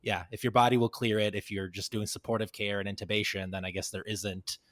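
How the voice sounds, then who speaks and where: Low-voiced American male podcast host. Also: flat delivery, a little fast, fairly bright tone, no room sound, clean studio sound. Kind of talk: casual interview chat.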